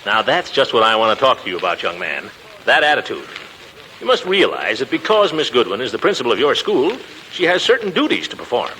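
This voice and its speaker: Blustery fond middle-aged uncle, old 1940s radio comedy, pitch swoops everywhere, bit of noise. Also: male voice, big pitch swings, fairly quick, bright, forceful tone. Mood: blustery, hesitant. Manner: blustery, hesitant, chuckling. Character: pompous, fond, flustered middle-aged uncle raising his nephew. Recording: noisy 1940s radio recording.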